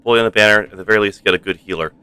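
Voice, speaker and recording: Bright, low-pitched man streaming a game. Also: rapid-fire, clean tone, faint music behind, poor audio. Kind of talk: casual live gameplay commentary.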